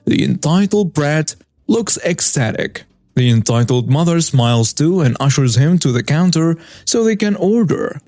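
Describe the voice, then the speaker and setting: A young man tells a first-person story in an easygoing, wry way, his voice a touch higher than most men's. It is recorded close and dry, with faint music running underneath. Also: mellow, no echo. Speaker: story narrator.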